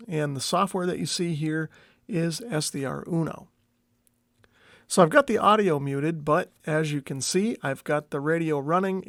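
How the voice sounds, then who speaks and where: Male hobby video presenter, slightly high voice with heavy vocal fry, explanatory and unassuming, talking through a demonstration one step at a time. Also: no room sound, studio quality. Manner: step-by-step, tentative non-expert explaining. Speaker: radio hobbyist video host. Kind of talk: explanatory screen walkthrough.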